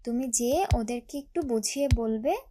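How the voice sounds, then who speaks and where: A woman playing a gentle cartoon mother. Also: on the high side, normal speed, noisy background, natural voice. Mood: affectionate. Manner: soft, affectionate.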